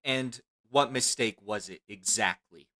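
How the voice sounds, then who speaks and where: A man's voice: mid-range voice, a little slow, bright, forceful tone. A man streaming, bantering casually and teasing with mock indignation.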